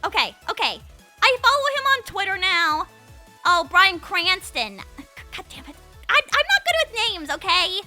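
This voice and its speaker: Very high, bright young woman streamer. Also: big pitch swings, moderate pace, soft background music. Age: 20s. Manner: playful, rambling, says "like" constantly. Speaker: livestream host chatting with viewers. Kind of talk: casual chat.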